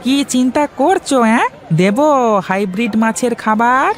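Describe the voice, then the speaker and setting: A man's voice: very high-pitched, animated, normal speed. A man voicing a sly, money-minded village shopkeeper who wheedles and haggles in a moral-story cartoon. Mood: pressing.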